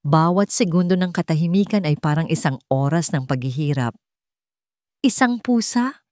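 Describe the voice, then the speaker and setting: A woman's voice: low-pitched, steady tone, a little fast, dark tone, no echo, studio quality. A woman narrating a scripted story in a dramatic storytelling style.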